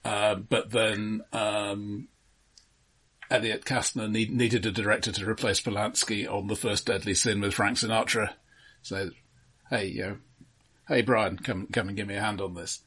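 A man's voice: on the low side, steady tone, normal speed, fairly bright tone, husky. A man co-hosting a casual film discussion, explaining background details in a matter-of-fact way. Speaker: film discussion podcast host.

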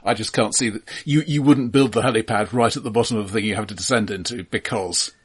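A man's voice: on the low side, fast, husky. A man co-hosting a casual film podcast, talking in a pedantic, explanatory way and often stammering and repeating words as he goes.

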